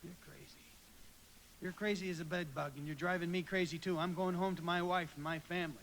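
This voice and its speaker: A man's voice: high voice, fairly even intonation, fairly quick, a bit bright, slight background noise, rough recording. An actor playing a distraught husband and father in a live radio play, agitated and stammering as he pleads. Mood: angry. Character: desperate, distraught everyman husband and father, George.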